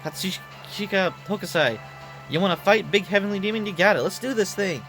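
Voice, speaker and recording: A man's voice: high-pitched, brisk, fairly bright tone, pitched above the performer's own voice. A man voicing a brash young female painter and swordfighter in game dialogue, with blunt, slangy, emotional tough talk. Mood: scared but defiant. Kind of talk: game dialogue read aloud. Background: background music.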